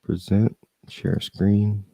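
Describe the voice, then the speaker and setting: A man's voice: on the low side, flat delivery, extremely slow, creaky, mellow, dry recording, low-quality recording. A man hosting a casual stream, chatting loosely and thinking aloud while he is losing his voice. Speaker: host. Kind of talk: casual live-stream reading and chat.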